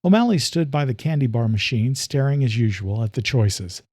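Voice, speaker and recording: A man's voice: mid-range voice, expressive, moderate pace, darkish tone, husky, no room sound. A man narrating scripted horror-thriller fiction as a storyteller, close on the mic.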